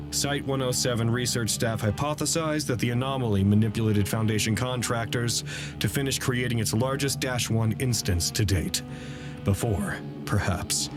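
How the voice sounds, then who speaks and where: An actor playing a measured scientist recording a log in an audio drama, speaking in a deliberate, dry way. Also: male voice, on the low side, lightly acted. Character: measured male scientist recording logs about an anomaly. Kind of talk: scripted audio drama narration.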